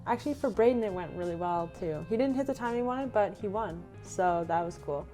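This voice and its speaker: A woman's voice: medium pitch, a little fast, soft background music. Chatty young woman recapping her races. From Canada. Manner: relaxed, chatty, reads her race notes aloud. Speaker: runner recapping her racing season. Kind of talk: casual vlog narration.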